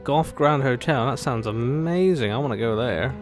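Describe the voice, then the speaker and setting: Very deep-voiced woman, flippant gaming commentary. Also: normal speed. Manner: flippant, joking, sweary. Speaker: gaming video host. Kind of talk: casual game commentary, reacting to dialogue. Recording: faint game music behind the voice.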